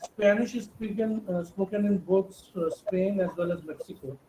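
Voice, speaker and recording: A man's voice: high voice, normal speed. A man in the audience asking a polite, hesitant question over a video call. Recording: echoey room with clear background noise.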